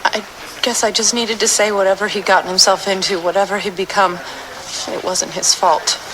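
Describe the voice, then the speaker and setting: A woman playing a miserable, grieving young woman in a crime drama, speaking in a halting, hesitant way. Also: medium pitch, fairly even intonation, lightly acted. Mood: bitter sorrow. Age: young adult. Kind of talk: naturalistic crime drama acting. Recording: noisy location sound with ambience.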